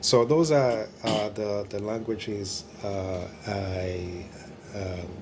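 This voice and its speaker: Slow-spoken man, low voice. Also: fairly even intonation, background noise. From Democratic Republic of Congo. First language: Kiluba. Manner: slow, hesitant, many filler pauses. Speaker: pastor, church regional staff. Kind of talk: interview answers.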